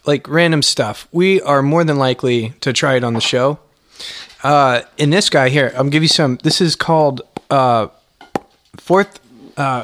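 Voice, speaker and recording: A man's voice: on the high side, a bit bright, slight background noise. A young man co-hosting a casual podcast, playful and teasing, often laughing. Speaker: podcast co-host. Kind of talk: casual chat.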